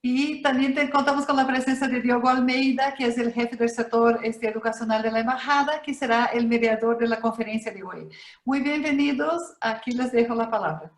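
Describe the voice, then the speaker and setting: Female host, fairly high voice, polite formal welcome, echoey room. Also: brisk. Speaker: host from cultural center.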